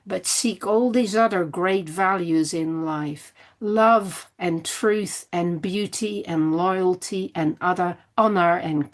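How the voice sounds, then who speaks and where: Older woman wrapping up a workshop on a video call, slow and low, calm encouraging tone. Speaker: workshop speaker. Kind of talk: closing a lecture, then leading Q&A. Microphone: online video call.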